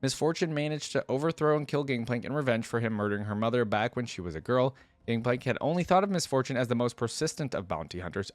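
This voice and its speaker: A man's voice: mid-range voice, no room sound. A man hosting a reaction video, reading aloud and commenting in an informal, chatty way.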